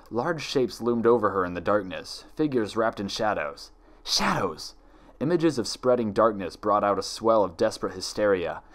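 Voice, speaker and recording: A man reading dark fan fiction aloud as dramatic, scripted horror narration. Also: lowish voice.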